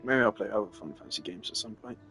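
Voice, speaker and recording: A man's voice: fairly low pitch, expressive, racing, gravelly, pushed and bright, soft background music. A man giving a casual, opinionated rant on a live stream, emphatic and exasperated in the way he talks.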